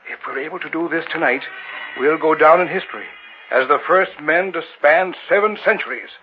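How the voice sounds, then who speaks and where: An actor playing an older, obsessive and patronizing occult scholar in old-time radio horror, speaking gravely and theatrically. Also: male voice, a little high, brisk, faint music behind, close to an everyday voice. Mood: fervent anticipation. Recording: dull, noisy old radio recording.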